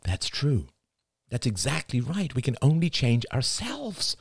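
A man's voice: mid-range voice, wildly swooping pitch, unhurried, vocal fry, breathy edge, dry recording, studio quality, voice pushed up, full character voice. A man doing an earnest, anxious young dad. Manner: earnest, pleading, voice catching. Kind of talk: audiobook dialogue.

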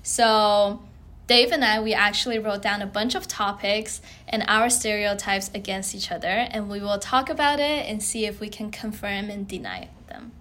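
A woman's voice: medium pitch, moderate pace, fairly bright tone. A young woman from China, a college student hosting a podcast, reading her intro in a plain, slightly slipping way. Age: young adult. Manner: plain, read-aloud delivery with small slips. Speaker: podcast co-host. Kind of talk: semi-scripted podcast intro.

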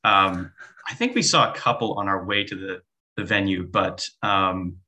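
A man's voice: sits low, expressive, moderate pace, sharp tone. A young man co-hosting a podcast, chatting casually in short, easygoing replies. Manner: short replies, easy laughter. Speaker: podcast co-host.

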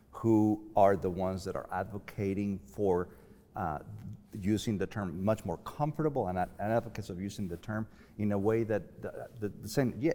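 Low-voiced man in a reverberant hall. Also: a little mellow. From Latin America. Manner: halting, thinking aloud with repeated false starts. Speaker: panel guest. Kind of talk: conversational panel discussion.

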